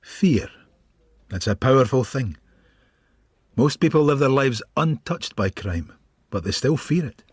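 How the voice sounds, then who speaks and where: An actor playing a brooding, sardonic middle-aged police detective in a radio drama, with a husky voice. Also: male voice, mid-range voice, lively intonation, measured pace, long pauses, no echo, studio quality.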